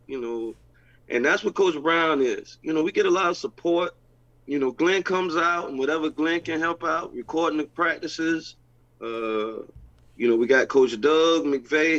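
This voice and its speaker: A man, head coach and owner of a football team, talking casually and excitedly, repeating himself as he goes. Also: a little high. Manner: excited, repetitive, informal phrasing. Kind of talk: casual chat. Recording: dull lo-fi sound.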